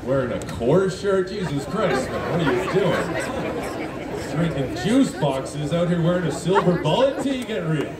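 A man in his thirties, from Alberta, with a deep voice, performing a deadpan, self-mocking stand-up comedy set and teasing the audience. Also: unhurried. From Canada (Alberta). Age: 30s. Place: outdoor festival stage in a city park at night. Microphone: PA speakers recorded by a phone in the crowd. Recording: echoey amplified sound from far off. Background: laughing, cheering crowd.

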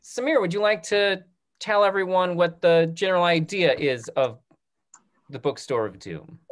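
A man in his thirties moderating a talk-back on a video call in a casual, halting way, often repeating his words. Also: high voice, expressive. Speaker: host of a theatre talk-back.

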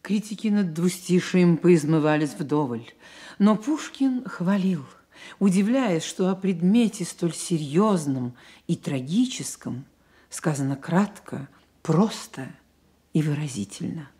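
Slow, lowish-voiced woman narrator. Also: husky. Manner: measured, expressive, reflective pauses. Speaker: narrator of a radio play. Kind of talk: scripted literary narration, Soviet radio drama. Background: music.

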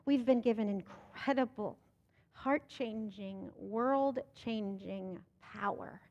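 A woman's voice: mid-range voice, slow pace, a little mellow, long reverb tail, muffled recording. A young American woman pastor preaching a sermon from the pulpit of a church sanctuary, asking rhetorical questions and building to emphatic, rising lines. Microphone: pulpit microphone.

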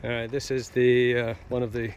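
Man, mid-pitched voice, matter-of-fact, halting phrases, walking-tour commentary, outdoor handheld recording, clear ambient noise. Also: completely flat delivery. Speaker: travel vlogger. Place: outdoors at open seaside ruins.

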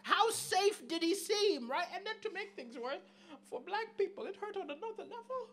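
A middle-aged man from southern Africa performing stand-up comedy on a small club stage, chatty and animated, questioning the audience into a handheld mic. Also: very high voice, normal speed. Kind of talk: stand-up comedy set with crowd work.

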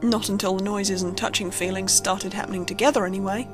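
A woman reading a scripted first-person horror story in a measured, suspenseful storytelling manner. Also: medium pitch, steady tone. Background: background music under the narration.

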